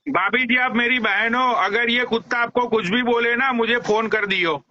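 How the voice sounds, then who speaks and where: A man's voice: extremely high pitch, steady tone, normal speed, bright, forceful tone, lo-fi sound. A man hosting a live stream, teasing his guest with crude, mock-respectful banter and frequent swearing.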